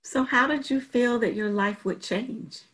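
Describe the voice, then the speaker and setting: A woman interviewing a guest on a video call, asking measured, curious questions. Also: on the high side, fairly bright tone.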